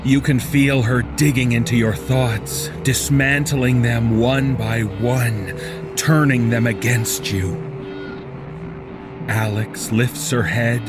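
A man giving a dramatic, scripted horror narration in a grave, deliberate and intense way. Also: mid-range voice, steady tone. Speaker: story narrator. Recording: prominent music bed behind the voice. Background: music.

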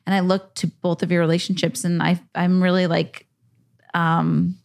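Female podcast guest; low-ish, fry-laden voice, earnest and rambling delivery. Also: level tone, moderate pace, darkish tone. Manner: rambling, filler-heavy, earnest. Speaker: podcast guest, media producer. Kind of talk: casual interview chat.

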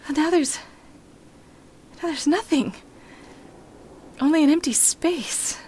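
An actress playing a tender, wonderstruck woman in a radio play, halting and emotional, slipping into whispers. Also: high voice, darkish tone, a little hiss or hum. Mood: bewildered. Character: tender, wonderstruck woman alone with her dog on Christmas Eve.

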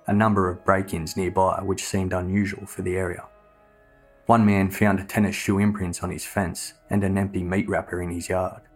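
A man reading scripted true crime narration in a measured, matter-of-fact way. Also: deep voice, slightly rough.

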